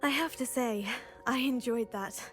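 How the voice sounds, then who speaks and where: This is a teenage girl student of magic in a video game, acted by a woman. She is courteous, composed and boldly curious, with a voice on the high side. Light music plays behind her. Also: measured pace, close to an everyday voice. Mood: amused. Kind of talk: video game dialogue acting.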